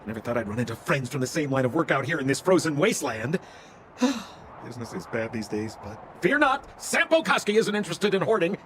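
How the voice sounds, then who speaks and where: A man's voice: fairly high pitch, sing-song, brisk, fairly bright tone, a little hiss or hum. A man voicing a slick, theatrical male con-man relic dealer in a video game, with glib, wheedling salesman patter. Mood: ingratiating, scheming.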